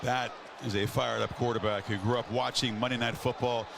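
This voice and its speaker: Male play-by-play announcer, gravelly voice, noisy stadium broadcast. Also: medium pitch, flat delivery, fairly quick. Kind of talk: televised football play-by-play commentary.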